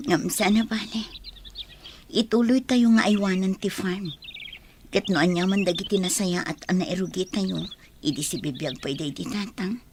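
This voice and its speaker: A woman plays an earnest, bereaved farm daughter with an Ilocano accent. Her voice is mid-pitched, set in a noisy radio drama scene with chirping birds. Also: moderate pace, natural voice. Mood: wistful, resolute. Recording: clearly noisy, birdsong effects behind.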